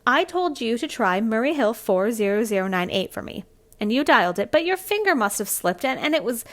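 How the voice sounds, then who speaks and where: A woman playing a frantic, high-pitched radio-thriller heroine. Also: a bit bright. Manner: breathless stammering, indignant, exasperated sighs. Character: frantic, demanding, imperious woman on the telephone. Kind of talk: 1940s-style radio thriller acting.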